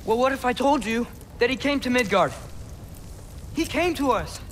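A man's voice: very high voice, lively intonation, moderate pace, slight background noise. A young male actor playing an earnest, impulsive teenage boy adventurer in a Norse fantasy video game, boyish and pleading. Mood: earnest, persuasive. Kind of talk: dramatic video game acting.

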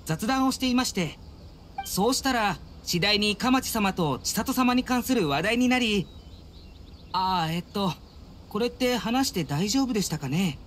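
A man's voice: sits high, animated, unhurried, fairly bright tone, a little hiss or hum. A man voicing an earnest, polite young servant of a noble house in a Japanese anime game, with formal, courteous speech. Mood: earnest, speculating. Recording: studio voice over game music. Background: game music.

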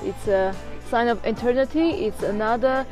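A woman in her thirties with an Armenian accent, guiding a visitor through a monastery, informative with frequent hesitant pauses. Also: a little high, a little mellow, faint music behind. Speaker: tour guide. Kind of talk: explaining history to a visitor. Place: outdoors at a monastery and inside a stone church.

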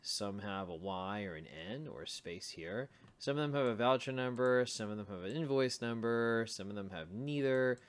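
A man's voice: on the low side, normal speed, hardly any pauses, clear, resonant tone. A man giving an informal screencast coding tutorial, thinking aloud as he works through each step. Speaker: programming tutorial instructor.

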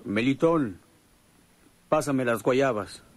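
A man's voice: mid-range voice, animated, slow, faint music behind. A man playing a weary, greedy boss who gives orders in a matter-of-fact way, in a radio drama. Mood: commanding. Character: weary, brisk boss of lawmen, greedy for treasure.

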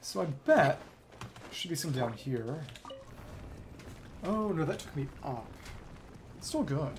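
A man's voice: fairly high pitch, big pitch swings, takes it slowly, noisy background. A man streaming a video game, giving casual live commentary in short fragments, muttered to himself.

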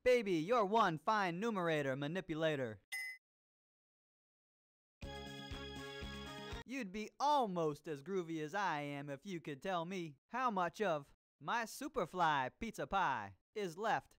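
An actor voicing an exuberant, jive-talking male disco cooking show host in an educational video game, with showy drawn-out exclamations. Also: high voice, animated. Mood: showy, hyped. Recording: disco music behind the voice.

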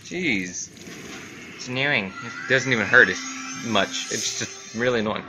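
A man's voice: mid-range voice, unhurried. A man giving casual, rambling gameplay commentary, muttering reactions to himself as he plays. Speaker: gaming video host. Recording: clearly noisy home recording.

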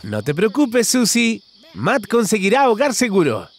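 A man's voice: sits high, wildly swooping pitch, a little slow, slight background noise. A man narrating a children's cartoon in an exaggerated, singsong way, talking to the characters.

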